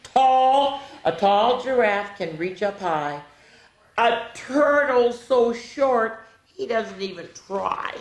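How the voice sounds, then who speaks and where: Slow-paced woman reading storytime in echoey room. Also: a little high. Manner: animated, talks directly to children, stretches key words. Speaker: children's storytime host. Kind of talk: reading picture books aloud to young children with asides. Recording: roomy, echoey room sound.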